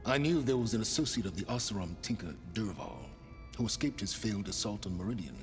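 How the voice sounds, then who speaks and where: An actor playing a cold, calculating schemer in a video game, speaking in measured, formal, condescending phrases. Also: male voice, lowish voice, expressive, lightly acted. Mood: calculating, proud. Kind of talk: video game dialogue acting. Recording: music behind the voice.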